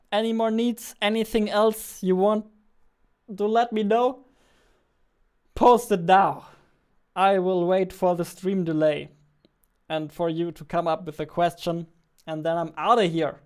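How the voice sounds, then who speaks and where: A man's voice: high voice, little pitch movement, unhurried, darkish tone, clear, resonant tone. A man in his twenties streaming as a game developer, chatting informally and playfully into a close studio mic. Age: 20s. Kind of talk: casual livestream chat. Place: small indoor room with dark backdrop. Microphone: close studio mic on boom arm.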